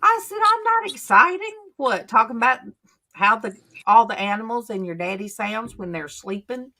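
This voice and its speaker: A woman, hosting a casual faith chat and telling stories in a chatty, drawn-out way. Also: on the high side, animated, sharp tone. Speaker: channel host.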